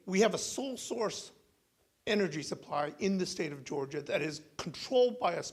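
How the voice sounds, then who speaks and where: A man's voice: a little high, gravelly, slightly rough. A man in the audience at a forum, asking a blunt, indignant question.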